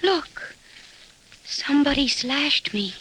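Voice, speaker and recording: A woman's voice: high voice, lively intonation, slow, a bit bright, full character voice. An actress playing a frightened, dazed young woman in a 1940s radio drama. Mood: shaken, dazed. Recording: noisy old 1940s radio recording.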